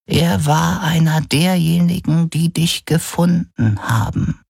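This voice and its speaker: Male narrator as aged alien woman elder, very gravelly, humble, awed reverence, slow, audiobook fantasy character. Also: on the high side, darkish tone, no echo, clean studio sound, pitched above the performer's own voice, full character voice. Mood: reverent, humble. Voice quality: creaky, raspy old voice.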